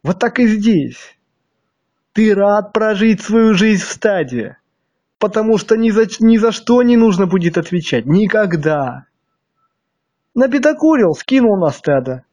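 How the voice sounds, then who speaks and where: A man's voice: sits high, lively intonation, unhurried, darkish tone. A man with a slightly stuffy nose delivering a blunt, crude monologue to his viewers, hammering them with rhetorical questions. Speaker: video blogger.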